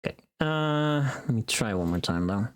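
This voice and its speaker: Female streamer, very deep voice with animated pitch movement, casual self-directed chat while working. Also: moderate pace. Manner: thinking aloud, self-directed muttering. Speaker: streamer recording voice pack lines.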